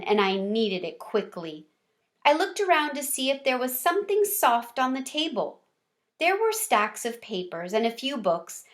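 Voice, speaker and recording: Fairly high-voiced woman reading a children's book. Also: fairly bright tone. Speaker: read-aloud storyteller. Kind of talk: expressive children's chapter book narration.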